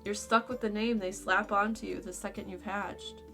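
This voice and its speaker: A woman's voice: mid-range voice, steady tone, moderate pace, bright, forceful tone, soft background music. A woman playing a blunt, sardonic young female dragon in a fan fiction audio drama, brusque and casual in manner. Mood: wry, blunt.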